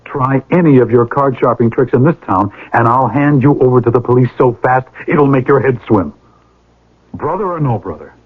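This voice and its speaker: An actor playing a stern, responsible older brother in a radio drama. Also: male voice, medium pitch, husky, natural voice. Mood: sober narrating. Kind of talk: 1980s radio drama acting with narration. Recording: tinny old radio broadcast.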